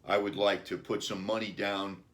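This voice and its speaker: Man playing a calm, low-voiced storybook dad. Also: normal speed, natural voice. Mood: calm, firm. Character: calm, reassuring father of a young boy.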